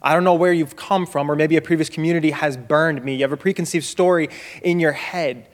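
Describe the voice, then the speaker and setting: A young man giving a loud, emphatic testimony to a church congregation, holding a handheld mic low, in a reverberant sanctuary. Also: fairly even intonation, fairly quick. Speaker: young adult group member giving testimony. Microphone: handheld microphone held low.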